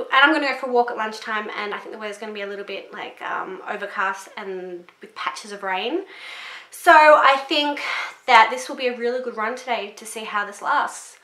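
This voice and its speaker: A young woman, chatting casually through a beauty product review, rambling and swearing a little, her voice a bit deeper than usual this morning. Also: pushed and bright. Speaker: beauty vlogger. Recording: echoey room.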